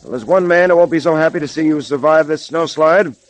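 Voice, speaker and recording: An actor playing a resolute police detective hero, commanding and earnest, in 1930s radio drama style. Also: male voice, a little high, brisk, close to an everyday voice. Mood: firm, earnest. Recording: faintly noisy 1930s radio recording.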